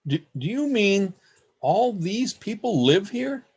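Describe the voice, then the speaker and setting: A man plays an earnest poet in a radio play. His husky voice is fairly high for a man and swings widely in pitch, at an unhurried pace with a theatrical touch. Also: sing-song, exaggerated voice. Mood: polite, uncertain. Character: earnest, wide-eyed male poet newcomer, narrating. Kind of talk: radio play acting and narration. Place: remote home recording over video call. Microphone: video call.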